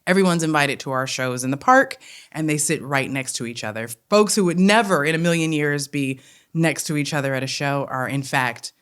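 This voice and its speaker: A woman's voice: low-pitched, a little fast, a bit bright, smooth. A woman from Detroit with a Detroit accent, speaking as a guest in a casual interview, earnest and flowing, often checking in with "right?". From Detroit, Michigan. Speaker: guest, theatre company head.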